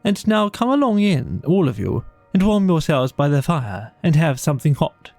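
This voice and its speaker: A man voicing a hearty, masterful rat in a storybook, bossy but kindly, with a brisk, commanding manner. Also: a little high, very animated, creaky, darkish tone, no echo, faint music behind, pitched above the performer's own voice, exaggerated voice. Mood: hearty, welcoming.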